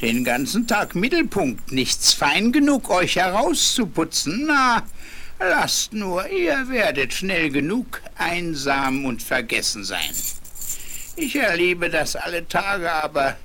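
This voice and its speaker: Grumpy old dog, hoarse, sarcastic, slow grumbling delivery, wildly swooping pitch, children's audio drama. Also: male voice, sing-song, pushed and bright, full character voice. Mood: sarcastic, bitter. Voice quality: hoarse, weak bark of a voice. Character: grumpy, spiteful old male farm dog. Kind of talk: 1970s German children's radio play acting.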